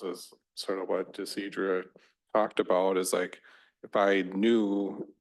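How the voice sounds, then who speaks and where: A man's voice: sits low, poor audio. A man on the village board thinking aloud in a casual way during a meeting. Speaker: board member. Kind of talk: meeting discussion.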